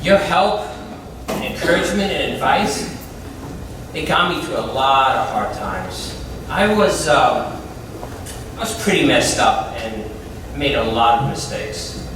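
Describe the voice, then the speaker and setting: A man acting on a community theatre stage as an earnest, excitable, grateful ex-convict, speaking emphatically with halting pauses. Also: mid-range voice. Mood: earnest, reflective. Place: indoor theatre stage, mic far off. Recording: distant, very echoey stage recording with clear noise.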